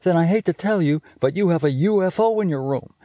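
A man voicing a teasing, bossy big-sister girl with a high, squealing voice and an exasperated, lively manner. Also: fairly quick, dry recording, natural voice.

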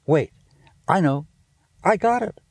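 Bossy big-sister girl, high and squealing, lively and teasing, played by a male reader. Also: slow pace, gravelly, no echo, heavily acted.

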